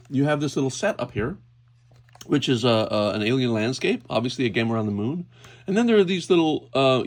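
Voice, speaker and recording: A man hosting a casual, unscripted toy unboxing, thinking aloud hesitantly as he handles things. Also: medium pitch. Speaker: toy unboxing video host. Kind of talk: casual unscripted hands-on commentary. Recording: clear background noise, clicking handling sounds.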